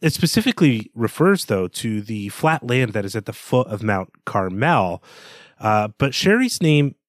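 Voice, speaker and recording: A male podcast co-host talks through a book in a conversational, wandering way. His voice sits in a middle pitch and he drops wry asides among the analysis. The recording is dry and free of room echo. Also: very animated, moderate pace. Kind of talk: conversational literary discussion.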